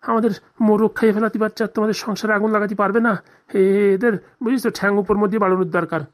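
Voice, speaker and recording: A man's voice: high voice, fairly even intonation, rapid-fire, close to an everyday voice. A man voicing an indignant, scheming middle-aged villager in a comedy cartoon, grumbling and swearing in rustic colloquial speech. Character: indignant, scheming forty-year-old village man, jilted husband.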